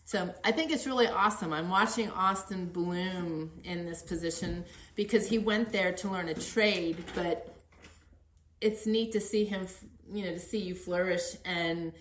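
A woman's voice: fairly low pitch, a little slow, fairly bright tone. A middle-aged woman hosting a conversational podcast interview in an earnest, encouraging manner. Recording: roomy, echoey sound.

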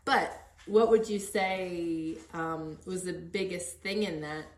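A middle-aged woman with a notably low voice, recorded in an echoey room. She hosts a conversational interview and speaks with earnest encouragement. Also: fairly even intonation, slow. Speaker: podcast host interviewing.

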